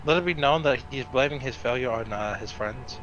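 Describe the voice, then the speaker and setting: Bright, tense-voiced man in dull voice chat. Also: mid-range voice, brisk, soft background music. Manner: teasing, wry. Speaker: streamer's friend playing along. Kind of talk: casual banter. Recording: dull voice-chat sound, faint noise.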